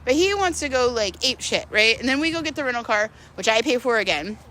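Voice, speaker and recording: A woman's voice: on the high side, expressive, fairly quick, pushed and bright. A woman telling a personal story in a casual, slangy, conversational vlog style, peppered with "like" and acting out bits of dialogue. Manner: conversational, slangy, filler-heavy "like". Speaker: storyteller vlogger.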